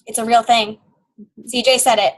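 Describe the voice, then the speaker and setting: A woman hosting a casual online group call, bubbly and excitable, laughing often, with a high voice. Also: normal speed. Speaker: team call host.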